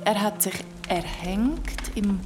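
An actress playing an observant, inquisitive nineteenth-century gentlewoman in a radio drama. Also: mid-range voice, slow, over music, natural voice. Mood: grave, insistent.

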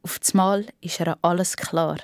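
Low-voiced Swiss German woman narrating. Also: fairly even intonation, normal speed, smooth, no echo, studio quality. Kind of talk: scripted children's audio story narration.

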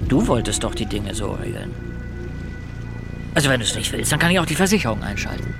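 Give the radio crime drama a self-assured man with a medium-pitched voice. He gives orders casually and reassuringly, like business as usual, with clear music behind him. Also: huge pitch swings, brisk. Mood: negotiating. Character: assured, wheeler-dealer man calmly giving orders. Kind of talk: naturalistic German radio crime drama acting.

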